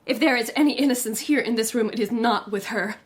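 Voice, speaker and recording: A woman's voice: fairly high pitch, fast, husky. An actress playing a defiant, bitter young society lady, haughty and formal in a period detective radio drama. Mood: bitter, accusing.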